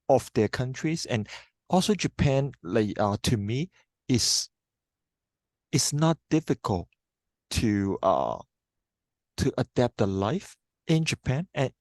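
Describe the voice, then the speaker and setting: A man, a guest speaker on a video call, thinking aloud in a relaxed way full of "like"s. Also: medium pitch, no room sound, clean studio sound. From Hong Kong. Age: 30s.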